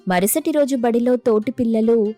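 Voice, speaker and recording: A woman's voice: on the high side, moderate pace, mellow, dry recording, faint music behind. A woman narrating a scripted moral story as its storyteller.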